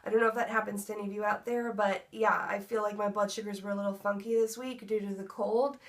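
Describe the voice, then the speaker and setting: A woman's voice: mid-range voice, steady tone, fast. A young woman vlogging a casual update, her voice congested from a cold, chatty with many ums and likes. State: congested, stuffy nose. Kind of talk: casual pregnancy update vlog.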